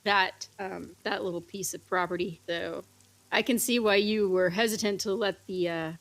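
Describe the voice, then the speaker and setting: A woman's voice: medium pitch, fairly even intonation, normal speed, a bit bright. A woman playing a tabletop roleplaying game, talking casually in and out of character.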